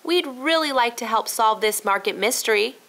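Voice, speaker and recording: Chirpy big-sister girl for a storybook played by a woman, high and very animated. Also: moderate pace. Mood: eager to help. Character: eager, bright young girl, helpful big sister.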